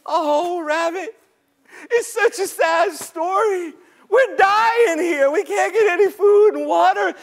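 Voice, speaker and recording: A man's voice: high-pitched, normal speed, gravelly. A man voicing small, frightened, starving woodland creatures with a plaintive, pleading whine in an oral folktale. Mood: tearful complaint. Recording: echoey hall with long reverb.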